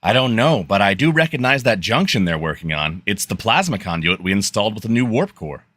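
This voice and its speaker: A man's voice: lowish voice, sing-song, fairly quick, a bit bright, no room sound, studio quality. A man playing a practical, helpful starship engineer in a fan radio play. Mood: explaining.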